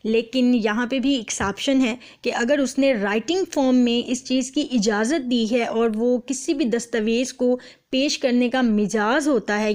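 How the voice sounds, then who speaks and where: A woman's voice: on the high side, darkish tone. A Pakistani woman giving an explanatory lecture, reading and translating line by line as she switches between English and Urdu. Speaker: law lecturer.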